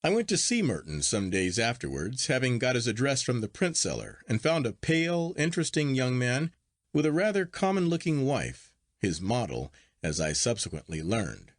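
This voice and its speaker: A man reading a literary story aloud as an audiobook narrator, in a measured, articulate and expressive storytelling manner. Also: medium pitch, no echo, clean studio sound. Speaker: audiobook reader.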